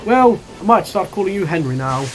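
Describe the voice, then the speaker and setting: A narrator voicing a pompous big male express engine in a children's story, booming and mocking. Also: lively intonation, a little fast, slight background noise, natural voice, low-quality recording.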